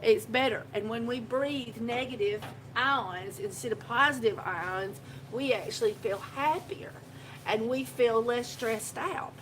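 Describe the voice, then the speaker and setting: A woman's voice: on the high side, a little slow, background noise. A woman, hosting a casual live video from her own shop and telling a rambling story with frequent laughter. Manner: rambling, chatty storyteller who laughs often. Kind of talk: casual live-stream chat. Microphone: phone live video.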